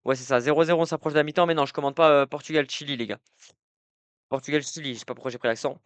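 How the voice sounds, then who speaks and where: Chatty young man with a crisp voice. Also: fairly high pitch, steady tone, racing, no room sound. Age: young adult. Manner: rambling, many fillers and restarts, talks to chat. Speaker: live streamer. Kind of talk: casual live-stream football talk. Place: indoor room at night.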